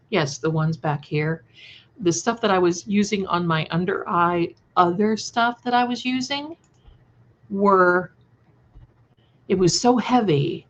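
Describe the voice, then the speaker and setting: Slow-paced woman in her sixties, chatty. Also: mid-range voice, fairly bright tone, highs cut off. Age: 60s. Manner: chatty, affectionate, addresses listeners with endearments. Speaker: livestream host. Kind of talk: casual live chat with viewers.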